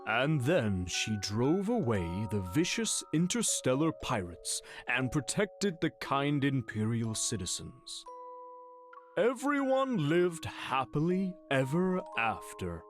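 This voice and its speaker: Game bit part: theatrical tale-teller, unhurried delivery, big melodic swings, music behind. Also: male voice, medium pitch, sing-song. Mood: grand, theatrical. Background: background music.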